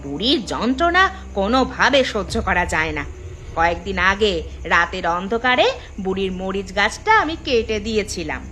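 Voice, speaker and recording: A woman's voice: mid-range voice, sing-song, moderate pace, bright, forceful tone, soft background music. A woman voicing a scornful, scheming village mother in a cartoon, with a calculating manner of speaking. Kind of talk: cartoon voice acting.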